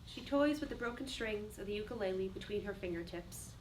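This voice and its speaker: A woman's voice: mid-range voice, lively intonation, a little fast. A woman reading stage directions as a scripted dramatic narrator. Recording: distant mic, faint background noise.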